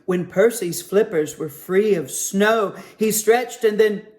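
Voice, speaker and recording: A man reading a picture book aloud at a children's story time, with a gentle, expressive manner. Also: high voice, slow pace.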